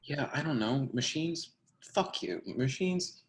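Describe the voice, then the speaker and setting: An actor playing a tough, grungy twenty-four-year-old in a play reading, casual, defensive and slangy. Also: male voice, fairly high pitch, animated, takes it slowly. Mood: exasperated. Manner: slangy, profane, conversational. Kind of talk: remote play reading, naturalistic casual dialogue. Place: indoor room, remote video call. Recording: roomy, echoey video call.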